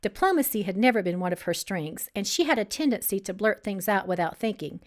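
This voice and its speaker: A woman's voice: mid-range voice, very animated, fairly quick. A woman reading a novel aloud as narrator, in the style of an author's live audiobook reading.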